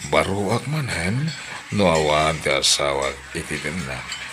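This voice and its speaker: A man's voice: rumbling low, very animated, measured pace, noisy background, exaggerated voice. A man playing husband, boss and father roles in a radio drama, earnest and intimate. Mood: wooing. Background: background music.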